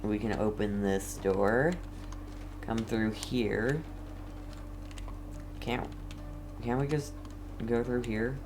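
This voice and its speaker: A man's voice: fairly low pitch, steady tone, very slow, pauses often, background noise. A man giving casual gameplay commentary as a streamer, with dry, understated joking. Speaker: gamer, streamer.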